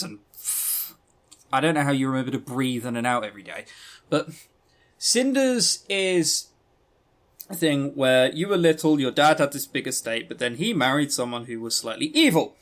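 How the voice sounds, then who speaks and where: A man's voice: mid-range voice, a bit bright. A man hosting a podcast in casual, rambling review talk, wry and self-deprecating, swearing freely and stressing key words. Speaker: podcast host reviewing visual novels.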